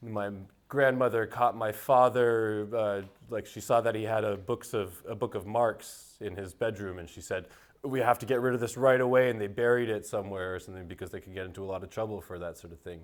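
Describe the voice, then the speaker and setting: A man raised in the United States answers interview questions in a thoughtful, unhurried way, with many fillers and restarts. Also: fairly low pitch, fairly even intonation. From United States (Seattle). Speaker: interviewee, musician.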